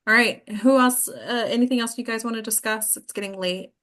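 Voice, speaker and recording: Woman hosting an acting class chat, really bright voice, informal and encouraging. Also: on the high side, fairly quick. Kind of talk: casual group discussion.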